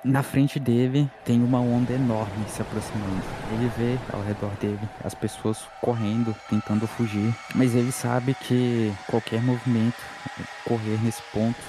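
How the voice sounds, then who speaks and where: A man playing a tabletop game, narrating his character in a measured, suspenseful way. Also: medium pitch, level tone, noisy background.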